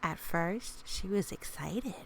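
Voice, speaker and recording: Slow, creaky-voiced woman reading to children. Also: fairly low pitch, a little hiss or hum. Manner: gentle, expressive, exclaims and asks questions. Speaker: storyteller reading a picture book aloud. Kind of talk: read-aloud with chatty asides to young children.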